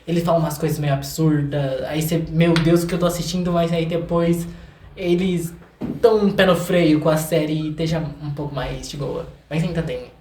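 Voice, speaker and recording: A man's voice: a little high, little pitch movement, normal speed, darkish tone, a little hiss or hum. A young Brazilian man chatting casually and informally, often repeating words for emphasis. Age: young adult. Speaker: interview guest, video maker and film student. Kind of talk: casual chat. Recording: roomy echoing sound.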